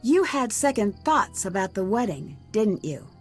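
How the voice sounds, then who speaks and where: A woman's voice: mid-range voice, sing-song, measured pace, soft background music. An actress playing an inquisitive young female amateur detective in a video game, probing and observant.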